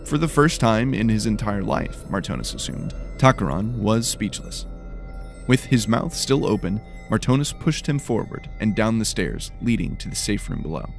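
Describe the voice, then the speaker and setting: A man with a slightly tired, worn voice reading scripted, dramatic dark fantasy narration. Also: fairly low pitch, very animated, normal speed, vocal fry, soft background music.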